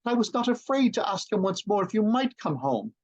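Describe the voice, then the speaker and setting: A man's voice: high voice, sing-song, a little fast, muffled recording, voice pushed up, full character voice. A man voicing an eager, loving little girl in a storybook reading.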